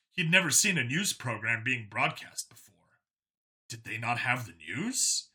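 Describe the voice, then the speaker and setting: A man's voice: medium pitch, bright, forceful tone. A man narrating a science fiction action story from a script.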